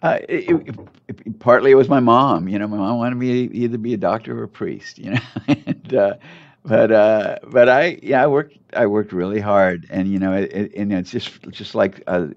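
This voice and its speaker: An older man with a low, gravelly voice talks about his life as a doctor in a relaxed interview. He hesitates often, restarting phrases and leaning on fillers. The sound is muffled and lo-fi. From United States. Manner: halting, many fillers and restarts. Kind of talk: casual conversational interview. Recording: muffled lo-fi audio.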